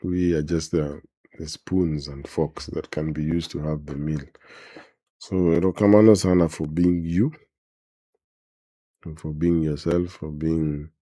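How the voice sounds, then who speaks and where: A man's voice: extremely low pitch, steady tone, a little slow, long pauses, warm tone, no room sound. A man with a Kenyan accent, hosting a podcast in a casual, conversational style. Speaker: podcast host. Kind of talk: casual bilingual chat.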